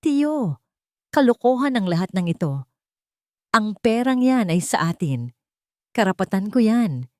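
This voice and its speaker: A woman's voice: mid-range voice, very animated, unhurried, pauses often, no room sound, clean studio sound, voice pushed up. A woman voicing an arrogant, greedy man who makes theatrical, oratorical pleas in an audio story. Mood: greedy, demanding. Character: arrogant, greedy male schemer posing as dignified victim.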